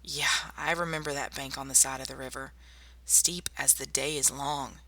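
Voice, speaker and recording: Woman playing wry young female survivor, soft-spoken ASMR drama, very crisp bright voice, gravelly creak. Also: very deep voice, steady tone, moderate pace, no echo, heavily acted. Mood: wry, friendly. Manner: soft-spoken, intimate, addressing one listener. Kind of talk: ASMR roleplay audio drama.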